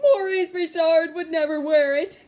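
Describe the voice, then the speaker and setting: A woman's voice: extremely high pitch, fairly even intonation, measured pace, darkish tone, voice pushed up. A woman voicing a tearful, stubborn ten-year-old boy in a storybook, whining in protest. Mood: tearful defiance. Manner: wailing, whiny protest.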